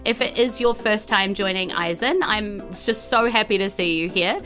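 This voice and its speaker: A woman with a New Zealand accent, chatting as host and game master of a live tabletop show in a bubbly, expressive way. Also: medium pitch, normal speed, soft background music.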